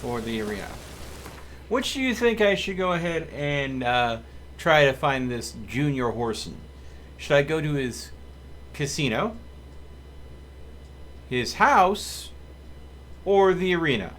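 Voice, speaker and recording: A man giving casual live gameplay commentary as a streamer, thinking aloud deliberately and addressing his viewers. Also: fairly high pitch, animated, fairly bright tone, slight background noise. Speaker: video game livestreamer.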